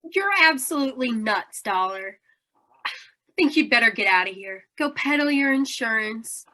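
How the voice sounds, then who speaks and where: A brittle lady crook from an old radio crime play, high bright voice, emotional. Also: lively intonation, normal speed. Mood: dismissive, cold. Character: brittle, high-strung female crime suspect. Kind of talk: old-time radio noir acting.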